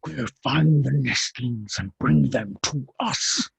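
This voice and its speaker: A man acts a menacing alien captain in a screenplay read, very husky and dry. He is a cruel, clawed carnivore leader who speaks slowly and formally, every phrase a threat. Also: medium pitch, no room sound, full character voice. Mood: menacing command. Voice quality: gravelly, husky.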